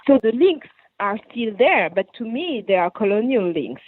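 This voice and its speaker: A woman's voice: fairly high pitch, big pitch swings, moderate pace, husky, no room sound. French-accented woman on a tinny phone line. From France. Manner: earnest, explanatory, rephrases as she goes. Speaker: guest writer and activist. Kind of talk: radio interview answers.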